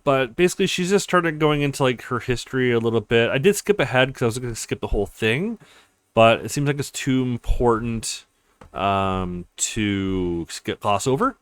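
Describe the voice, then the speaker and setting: A man's voice: mid-range voice, fairly bright tone, no room sound, studio quality. A man hosting a let's play, talking casually over a visual novel with loose, hesitant asides. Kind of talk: casual game playthrough commentary, reading dialogue aloud.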